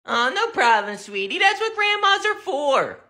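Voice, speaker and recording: Woman as a feisty grandmother, bossy, doting, lively swooping delivery, comedy skit. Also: high-pitched, full character voice. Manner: brisk, scolding, drawn-out greetings.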